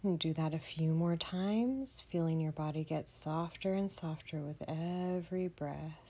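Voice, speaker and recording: A woman leading a children's bedtime meditation in a soothing, gentle manner, often dropping to a breathy near-whisper. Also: sits low, measured pace. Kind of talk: guided bedtime meditation for kids.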